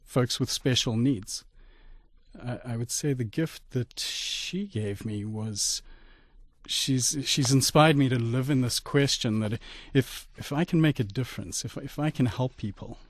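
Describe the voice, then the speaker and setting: A middle-aged man from Zimbabwe, a radio interview guest telling his life story in an articulate, measured way. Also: mid-range voice, no echo.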